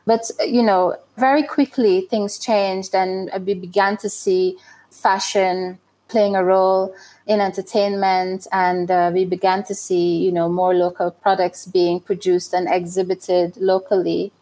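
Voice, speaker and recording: A woman's voice: medium pitch, flat delivery, a little slow, dark tone. A middle-aged woman from Guyana giving thoughtful, explanatory interview answers in a conversational style.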